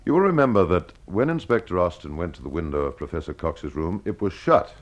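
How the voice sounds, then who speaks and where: A man's voice: low-pitched, lively intonation, fairly quick. A man hosting and narrating a scripted detective mystery. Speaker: host and storyteller.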